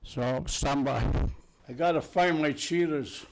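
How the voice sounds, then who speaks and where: A man's voice: fairly high pitch, slow, slight background noise, poor audio. An older man from Ireland with an Irish accent, a guest telling wry stories and reading his poem at a town meeting. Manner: wry, chuckling storyteller. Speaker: guest poet.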